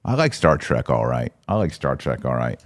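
A man's voice: extremely low pitch, big pitch swings, moderate pace. A man hosting a conversational religious commentary podcast, often posing incredulous rhetorical questions. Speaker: podcast host.

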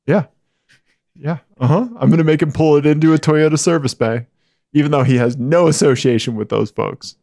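A man co-hosting a casual podcast, giggly and animated, with a naturally high-pitched voice. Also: a little fast, creaky, no room sound, clean studio sound. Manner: giggly, animated, voice jumps high when excited. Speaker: podcast co-host.

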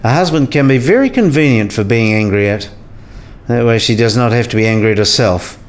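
A man playing a kindly, wise professor and mentor in a radio play, measured and reassuring with a gentle wryness. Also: mid-range voice, lively intonation, lightly acted. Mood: gently wry.

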